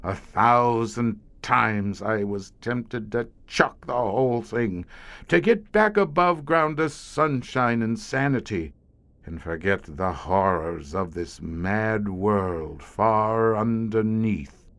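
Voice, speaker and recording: An actor playing a haunted, learned middle-aged scientist who guards monster-ridden tunnels, speaking in a grave, florid, theatrical way for an audio horror drama. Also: male voice, fairly low pitch, a bit bright. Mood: haunted, despairing. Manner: grave, florid, dramatic storyteller with weighty pauses.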